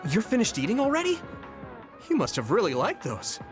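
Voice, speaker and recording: An earnest young video game soldier, fairly high in pitch with lively intonation. He is gentle, kind and eager to help, and music plays behind his lines. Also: brisk. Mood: friendly, upbeat. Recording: background music over dialogue.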